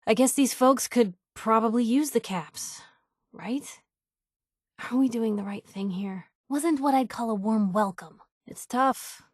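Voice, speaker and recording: Game companion: young female reporter, higher-voiced, principled and sassy, old-fashioned slangy banter, very dry close recording. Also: a little slow, no room sound. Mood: earnest, uneasy. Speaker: video game voice actress. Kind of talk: video game companion voice lines.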